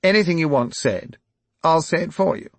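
A man's voice: fairly high pitch, expressive, normal speed, gravelly, no room sound, voice pushed up. A man voicing an aggrieved, blustering older aristocrat who speaks. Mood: rousing. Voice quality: indignant, blustering.

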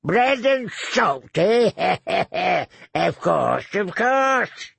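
A man voicing a sly, crude, cackling old male lord with a gravelly voice who tags phrases with "eh?". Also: high-pitched, big pitch swings, drawn-out words, fairly bright tone, no echo, voice pushed up, heavily acted. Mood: mocking. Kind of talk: audiobook character voice.

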